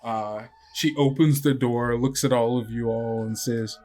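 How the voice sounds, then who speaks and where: A man narrating as game master of a tabletop podcast, unhurried and often pausing on fillers. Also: mid-range voice, fairly even intonation, warm tone, soft background music. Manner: unhurried, hesitant with many fillers.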